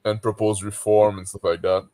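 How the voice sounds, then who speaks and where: Nasal quebecois french guy, just woke up, deep low voice, dry laptop mic. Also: flat delivery, moderate pace, mellow, dry recording. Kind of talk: casual chat.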